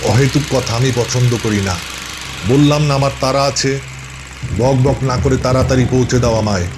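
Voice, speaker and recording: A man's voice: medium pitch, normal speed, music in the background, natural voice. A man voicing a curt, mocking skeleton passenger in a cartoon horror story. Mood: impatient.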